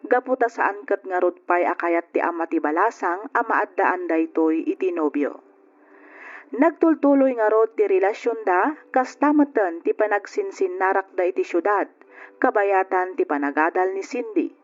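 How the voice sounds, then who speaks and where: A woman's voice: medium pitch, lively intonation, normal speed. A woman with a Filipino Ilocano accent giving scripted narration as the host of a radio drama. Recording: dull lo-fi radio with background music. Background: faint music.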